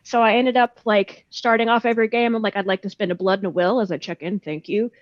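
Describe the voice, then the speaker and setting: A woman's voice: medium pitch, steady tone, quick pace, dull audio, a little hiss or hum. A woman in her thirties chatting casually and candidly as a guest, with a self-deprecating, salty way of talking. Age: 30s. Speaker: guest voice actor. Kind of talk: casual chat. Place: indoors, on a video call.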